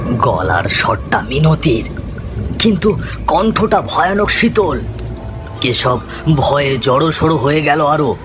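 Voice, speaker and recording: Animated male storyteller over music. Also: a little high, slow, long pauses. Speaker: narrator. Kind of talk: dramatic scripted ghost story narration. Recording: music behind the voice.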